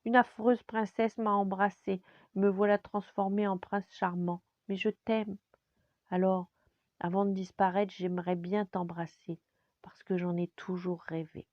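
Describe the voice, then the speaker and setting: A woman's voice: mid-range voice, little pitch movement, measured pace, no room sound, dull audio, voice pushed up, close to an everyday voice. Woman as a timid, lovesick little male frog. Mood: tearful, tender. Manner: hesitant, earnest, pleading.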